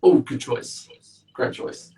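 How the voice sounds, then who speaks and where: Man, chatty live-stream host, big voice, booming and upbeat, recorded a way off the mic in an echoey room. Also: takes it slowly, mellow, distant mic. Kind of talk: casual live-stream interview.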